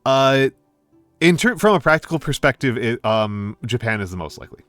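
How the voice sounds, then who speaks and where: A middle-aged American man chatting casually with stream viewers, pausing often with fillers and restarts. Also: medium pitch, animated, fairly bright tone, dry recording, faint music behind. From United States. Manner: hesitant, many fillers and restarts. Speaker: VTuber streamer.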